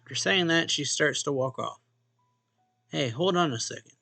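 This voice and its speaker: A man's voice: on the high side, lively intonation, moderate pace, creaky, pushed and bright, dry recording. A man reading a visual novel's narration and dialogue aloud expressively, as a let's play host. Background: game music.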